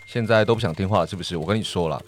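A man's voice: low voice, rapid-fire, a little hiss or hum, close to an everyday voice. A man with a Taiwanese accent playing a domineering, taunting, impatient master in an audio drama. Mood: stern, commanding. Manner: commanding, taunting.

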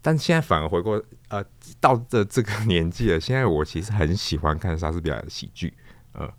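Deep-voiced Taiwanese man, thoughtful. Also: lively intonation, brisk, no echo. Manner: thoughtful, searching for words, repeats phrases. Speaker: guest, stage actor and storyteller. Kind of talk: reflective interview talk.

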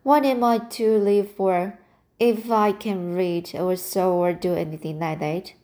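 A woman reading the lines of a weary, bitter elderly woman in a slow, plain voice. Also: mid-range voice, fairly even intonation, warm tone, close to an everyday voice.

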